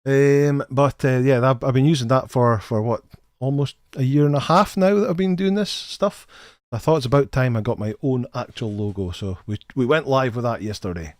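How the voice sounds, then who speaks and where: A man's voice: medium pitch, normal speed, darkish tone, no room sound, studio quality. A middle-aged man hosting a casual live game stream, greeting viewers in a chatty, friendly way and often repeating the first words of a sentence. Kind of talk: casual live stream chat, reading chat names.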